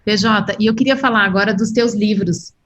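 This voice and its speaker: A woman's voice: mid-range voice, brisk, a bit bright. A Brazilian woman hosting an interview from London and putting friendly questions to her guest. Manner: friendly, brief acknowledgments. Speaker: podcast host. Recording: dull lo-fi call audio.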